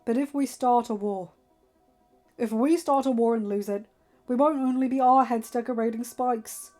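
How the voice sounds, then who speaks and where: A woman voicing a stern, protective young lord and father in a fantasy story, arguing with forceful, emphatic reason over music. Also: fairly high pitch, fairly even intonation, normal speed, natural voice. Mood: grave, insistent.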